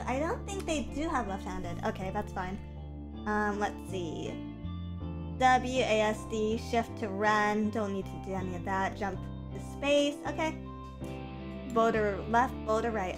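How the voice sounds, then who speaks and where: A woman streaming a game in casual chat, a bit stuffy from a cold, going from low mumbles to loud excited yelling. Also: unhurried. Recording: game music behind.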